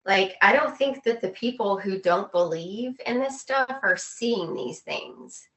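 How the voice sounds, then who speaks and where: A woman with a fairly low voice shares her opinions in casual conversation. She speaks earnestly and repeats phrases to drive her point home. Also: a bit bright.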